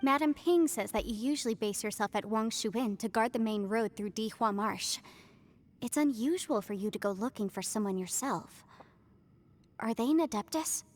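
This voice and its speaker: Woman as polite, higher-voiced young game heroine. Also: moderate pace, natural voice. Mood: curious, concerned. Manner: courteous, inquisitive, articulate. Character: polite, thoughtful young woman of adeptus blood. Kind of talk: anime video game voice acting.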